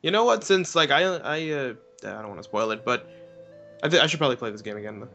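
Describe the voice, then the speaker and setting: A man's voice: mid-range voice, animated, quick pace, vocal fry, pushed and bright, dull audio. A man co-commentating on a video game in an informal, joking, chatty way. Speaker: let's play co-commentator. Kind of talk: casual gameplay commentary, joking chat. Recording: game music under the voice.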